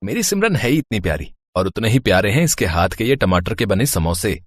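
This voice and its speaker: A man's voice: fairly low pitch, sing-song, a little fast, no room sound, studio quality. A man voicing a devoted, tender young husband in a melodramatic cartoon moral story, with an earnest manner. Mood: fond, proud.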